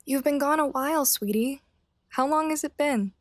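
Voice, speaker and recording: A woman's voice: high voice, normal speed, no echo, studio quality, natural voice. A woman playing the wry, teasing ghost of a young mother in a radio play, speaking in a motherly way.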